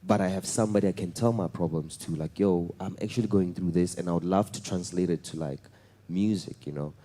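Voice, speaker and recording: A man's voice: low voice, a little fast, dark tone. A man, a musician on a masterclass panel, talking casually with playful slang. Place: recording studio.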